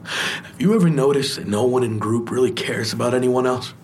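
An actor in an audio drama playing an intense, wounded trauma survivor, speaking in an earnest, insistent, confessional way. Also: male voice, mid-range voice, a little fast, a little hiss or hum, close to an everyday voice. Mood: bitter, insistent. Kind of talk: naturalistic audio drama acting.

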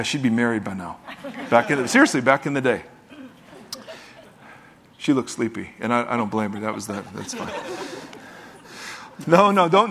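A man's voice: medium pitch, a bit bright. A man preaching a sermon to a live congregation, reading scripture and talking in a loose, joking, conversational way. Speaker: pastor.

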